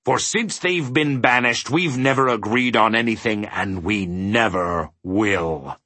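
Storybook mathematician ruler, played by a male reader: stubborn, emphatic, huge pitch swings, husky edge. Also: lively intonation, unhurried, no room sound, full character voice. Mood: thundering, defiant. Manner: emphatic, declamatory, swinging from bellows to ominous hush. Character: bombastic, stubborn mathematician ruler, thundering and ominous.

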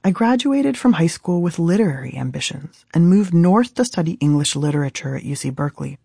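A woman's voice: sits low, lively intonation, brisk, creaky, dark tone, slightly rough, no room sound. An American woman in her thirties from Southern California, reading her own book aloud as scripted audiobook narration in a studio. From Southern California, USA. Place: dry recording studio.

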